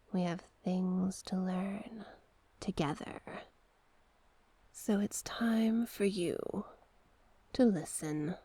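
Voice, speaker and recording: A woman voicing a seductive, manipulative sea witch in an ASMR roleplay, doting yet sinister, with a hushed, breathy, coaxing delivery. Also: fairly low pitch, no room sound, heavily acted. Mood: hypnotic, whispering. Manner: slow, coaxing, affectionate endearments. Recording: noticeable background noise and ambience.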